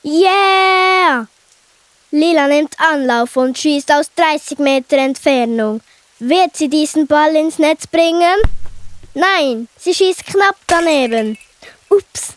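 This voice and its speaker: A woman's voice: high-pitched, slow, no echo. A woman playing an impulsive young girl in a children's audio play. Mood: excited.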